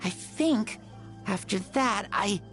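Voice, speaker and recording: A woman's voice: medium pitch, lively intonation, slow, a bit bright. A woman voicing a frail young child who talks hesitantly and stammers, in a video game. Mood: wondering. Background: game music.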